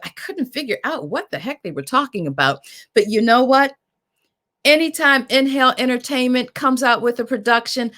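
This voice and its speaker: A woman moderator giving an informal, emphatic welcome address with deliberate phrasing. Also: mid-range voice, fairly bright tone. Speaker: moderator, executive director.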